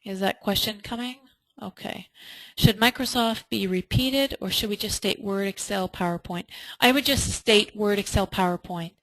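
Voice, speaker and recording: Female webinar presenter, mid-pitched, explanatory and emphatic, fond of rhetorical questions, very little room sound. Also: normal speed, a bit bright, dry recording, poor audio. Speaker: webinar presenter and resume instructor. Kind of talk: webinar teaching, answering participants' questions. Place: indoors, online webinar.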